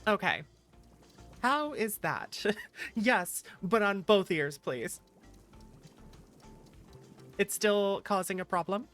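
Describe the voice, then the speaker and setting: A woman's voice: medium pitch, expressive, slow pace, long pauses, a bit bright. A woman livestreamer chatting casually and playfully with her viewers, teasing them as she goes. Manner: playful, teasing, reacts animatedly to chat. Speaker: streamer, virtual YouTuber. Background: background music.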